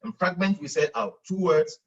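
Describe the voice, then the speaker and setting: Arabic grammar teacher lecturing online, slightly distant. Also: male voice, high-pitched, steady tone, normal speed, fairly bright tone, dull audio. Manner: explains patiently with frequent repeats and fillers, laughs at own jokes; acts out students' questions in a raised voice.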